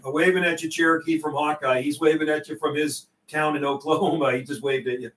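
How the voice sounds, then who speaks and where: A man's voice: on the high side, steady tone, fast talker, fairly bright tone, a little hiss or hum. A man hosting a CB radio livestream, chatting casually in slang and repeating and relaying messages between operators. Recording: roomy, distant microphone.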